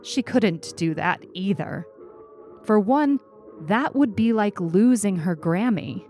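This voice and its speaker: A woman's voice: mid-range voice, lively intonation, slow, a little mellow. A woman narrating a scripted short story as its reader. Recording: music under the reading.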